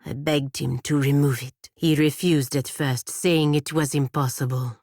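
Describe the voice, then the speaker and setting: An actress playing a proud, stern godlike warrior woman in a fantasy video game, speaking in formal, measured phrases. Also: low voice, fairly even intonation, a little mellow, no echo, studio quality. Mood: solemn, confiding.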